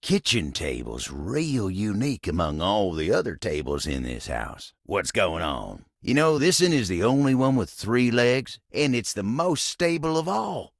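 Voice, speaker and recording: A chatty old-fashioned cowboy table from a video game. The voice is low and full of gravel, with a folksy Southern drawl that rises and dips freely. He rambles along politely, easygoing and a little bashful. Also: sing-song, measured pace, few pauses, dry recording, full character voice. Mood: friendly, reflective. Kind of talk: video game character dialogue.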